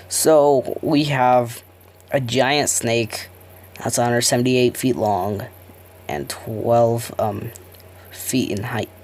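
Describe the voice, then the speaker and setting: A man playing a fumbling amateur news reporter, halting and self-conscious as he improvises his report and stumbles over words. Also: mid-range voice, darkish tone. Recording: noisy homemade recording.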